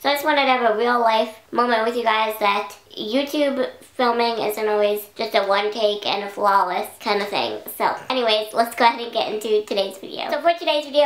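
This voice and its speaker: Young female vlogger, slightly raised pitch, chatty and rambling, a little hoarse, roomy echo. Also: a bit bright. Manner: chatty, rambling, many "like" fillers. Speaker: YouTube vlogger. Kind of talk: casual talk to camera.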